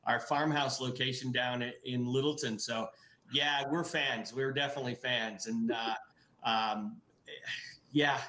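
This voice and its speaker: A man's voice: mid-range voice, fairly even intonation, measured pace, bright, forceful tone. A middle-aged man in a casual video-call interview, talking about his brewery with lots of fillers and restarts. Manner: enthusiastic, many fillers and restarts. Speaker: brewery guest. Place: indoor office room. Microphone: video call webcam. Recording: roomy webcam audio.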